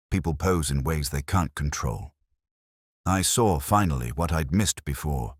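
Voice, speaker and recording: A brooding, cerebral detective voiced by a man recounts his case in an extremely low voice. The delivery is measured and reflective, yet the pitch moves expressively through each phrase. The sound is dry and close, suited to a scripted audio mystery. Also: breathy edge, dry recording, full character voice. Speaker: narrator. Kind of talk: scripted first-person detective story narration.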